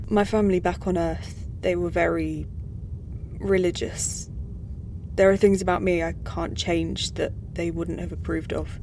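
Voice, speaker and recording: A woman's voice: fairly low pitch, fairly even intonation, measured pace, darkish tone, a little hiss or hum. A woman playing an earnest, displaced human space traveller in a science-fiction audio drama. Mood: sad, confiding. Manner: earnest, confiding.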